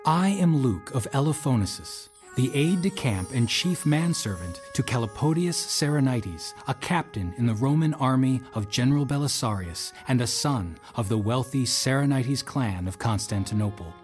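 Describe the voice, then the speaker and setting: An actor playing a loyal manservant to a Roman army captain, narrating an audio drama in a formal, measured way. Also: male voice, medium pitch, animated, soft background music, close to an everyday voice. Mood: formal, stately.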